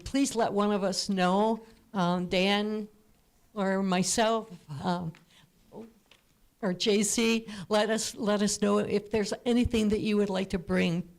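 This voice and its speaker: An older woman with a naturally loud voice making a friendly church announcement into a handheld microphone. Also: mid-range voice. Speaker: event organizer. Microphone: handheld mic.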